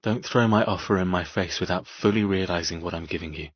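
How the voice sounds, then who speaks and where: A possessive, intense captor in an audiobook romance, with a very low voice that has a husky edge. He murmurs softly and deliberately. The sound is dry and slightly dull. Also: dry recording. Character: possessive, intense man tending his wounded captive woman. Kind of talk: audiobook character dialogue.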